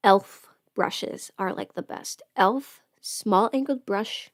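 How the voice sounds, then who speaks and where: A woman talks in a casual, chatty livestream style, rambling and thinking aloud in a playful way. Her voice sits at a medium pitch and is recorded close and dry. She is a little under the weather. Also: slow, dry recording. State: sick with a cold or illness. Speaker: livestream host and audio performer. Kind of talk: casual makeup livestream chat.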